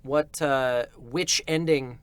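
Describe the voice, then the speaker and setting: A man in a casual panel chat. His pitch sits in the middle. He talks as a voice actor taking questions on a livestream. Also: steady tone, slow.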